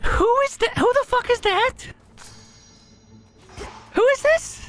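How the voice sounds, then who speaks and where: A man giving casual live gameplay commentary as a streamer, slangy and excitable, with some swearing. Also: very high-pitched, takes it slowly. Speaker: gaming streamer. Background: faint game music.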